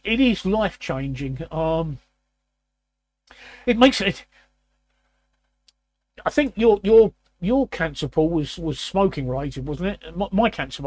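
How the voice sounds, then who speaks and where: A man in his sixties with a croaky, raspy voice, hosting a casual chat and often clearing his throat. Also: fairly high pitch, no room sound. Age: 60s. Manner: repeats words, frequent throat clearing. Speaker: host.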